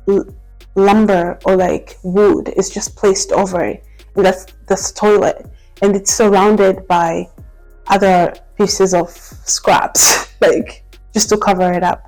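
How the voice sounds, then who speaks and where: A young woman from Congo speaks in a personal vlog. Her voice is warm and mellow at a middle pitch. She talks in a reflective, candid way, often pausing on "like" and restarting her sentences. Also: rough recording. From Democratic Republic of Congo. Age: 20s. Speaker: vlogger.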